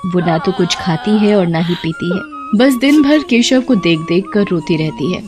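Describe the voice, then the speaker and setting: A woman's voice: lowish voice, expressive, moderate pace, darkish tone, faint music behind. A woman narrating a scripted tale as a storyteller.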